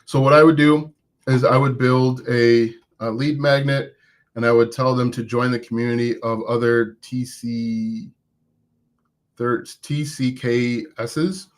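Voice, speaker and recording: A man's voice: medium pitch, steady tone, unhurried. A man coaching viewers live about online business, talking conversationally and encouragingly with frequent restarts, and saying he has an accent of his own. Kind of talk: live-stream coaching, answering chat.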